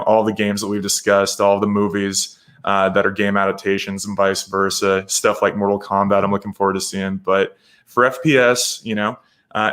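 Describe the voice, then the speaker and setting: Low-pitched man, very even delivery. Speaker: guest documentary maker promoting projects. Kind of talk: casual promotional chat.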